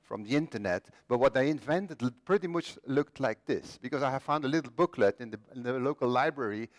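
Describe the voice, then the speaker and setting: A man's voice: mid-range voice, brisk. A man in his late fifties from Belgium, telling the story of his own life as a conference speaker, joking with the audience and poking fun at himself. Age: 50s. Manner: self-deprecating, jokey with the audience. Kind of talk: personal storytelling talk. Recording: long reverb of a large hall.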